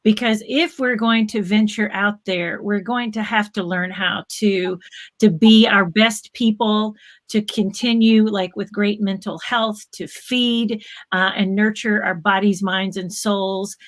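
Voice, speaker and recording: A woman's voice: mid-range voice, fairly even intonation, unhurried. A woman moderating a panel discussion, upbeat and encouraging as she draws the guests out.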